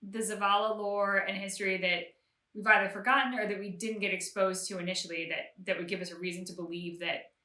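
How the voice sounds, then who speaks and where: A woman's voice: medium pitch, fairly even intonation, fairly quick, bright, forceful tone. A woman co-hosting a reaction show, talking through a game story in a casual, emphatic, explanatory way. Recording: roomy, echoey home-recorded sound.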